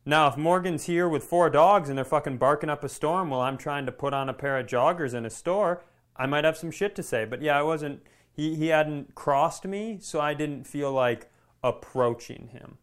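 A man with a slightly high voice chats as a comedy podcast co-host. He tells stories in an animated, self-deprecating way and swears freely as he goes. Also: moderate pace, clear, resonant tone. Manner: animated storytelling with profanity. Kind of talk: casual anecdotal chat.

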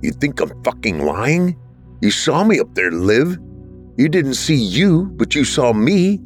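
A man's voice: mid-range voice, very animated, unhurried, faint music behind, voice pushed up. A man voicing a defensive, sarcastic young male ghost, in a raised voice. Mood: indignant.

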